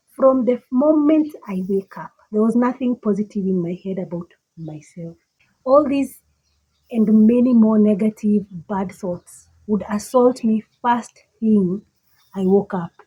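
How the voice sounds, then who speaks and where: A woman with a Kenyan accent and a slightly husky voice, giving an emphatic, deliberate motivational monologue that repeats phrases for effect. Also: medium pitch, mellow, rough recording. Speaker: motivational speaker.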